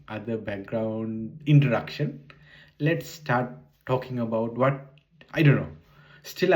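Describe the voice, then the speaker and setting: A man in his forties with a Sri Lankan accent talks casually to his audience in unscripted English, his second language after Sinhala. His voice sits in an ordinary middle pitch, and he speaks hesitantly, pausing and restarting as he searches for words. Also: a little mellow. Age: 40s. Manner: hesitant, halting non-native English with frequent restarts. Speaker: vlogger.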